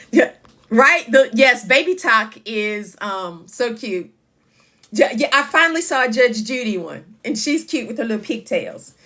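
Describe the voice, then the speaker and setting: A woman hosts a live chat in a loud, carrying voice that sits a touch high. She talks to her viewers casually and reads their comments aloud as they come in. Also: very animated, measured pace. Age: 50s. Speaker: livestream host.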